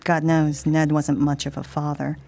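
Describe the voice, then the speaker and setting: A woman's voice: low-pitched, flat delivery, moderate pace, a little mellow. An actress playing a secret flesh-eating half-breed woman in a radio horror drama, wry, hungry, taunting and confiding. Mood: wry, confessing. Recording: music under the voice.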